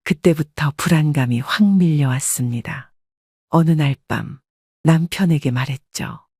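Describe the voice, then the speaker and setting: An older woman narrates a personal life story in a confessional, scripted style. Her voice is husky and sounds close, with no room around it. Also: sits low, measured pace, darkish tone, dry recording.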